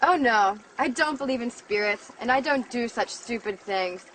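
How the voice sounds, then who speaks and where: An actress playing a stubborn, scornful young sceptic with a blunt manner in a scripted drama for learners. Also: female voice, a little high, lively intonation, moderate pace, a bit bright, a little hiss or hum, rough recording. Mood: stubborn, curt. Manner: blunt, dismissive.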